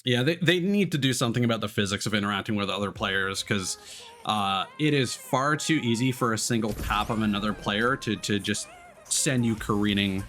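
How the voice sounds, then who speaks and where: A man's voice: on the low side, normal speed, fairly bright tone. A man giving casual livestream gaming commentary, chatting as a streamer and swearing casually. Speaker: game streamer. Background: game music and racing car sounds.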